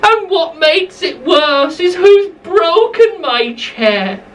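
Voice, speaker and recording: A little baby bear from a bedtime storybook, upset and whining as a woman storyteller acts it out. The voice is very high and swoops about, tearful and drawn out. It sounds a little way from the mic. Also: lively intonation, slow pace, distant mic, exaggerated voice.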